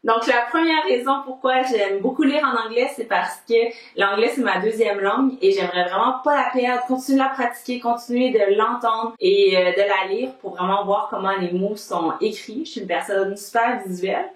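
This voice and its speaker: A woman's voice: on the high side, moderate pace, hardly any pauses. A young woman with a Québécois French accent, chatting casually to camera as a book vlogger and laying out her reasons one by one. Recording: roomy echo.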